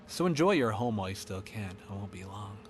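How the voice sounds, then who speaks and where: A man's voice: fairly low pitch, expressive, a little fast, a little hiss or hum, natural voice. A man voicing an unrepentant killer hiding in an attic, sinister and darkly glib, in a first-person horror monologue. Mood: hushed threat.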